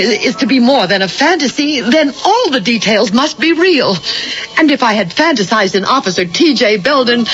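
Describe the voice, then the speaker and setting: An actress playing an anxious, insistent wife haunted by foreboding, in an earnest, emphatic 1980 radio drama style. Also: female voice, medium pitch, a bit bright. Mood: haunted narration. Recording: dull lo-fi old radio recording.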